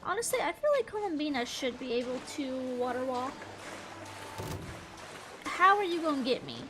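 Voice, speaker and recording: A woman's voice: sits high, sing-song, moderate pace. A woman streamer giving casual, playful commentary while she plays a game. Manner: chatty, playful. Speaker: gaming streamer. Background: game music.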